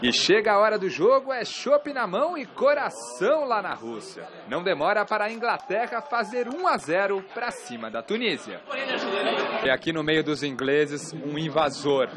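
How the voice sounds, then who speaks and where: A lively man reports from a busy pub on a handheld mic, his voice sitting a little high. He chats and jokes with fans while a crowd clamors loudly around him. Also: normal speed. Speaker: field reporter. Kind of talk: on-location sports report and street interviews. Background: crowd chatter.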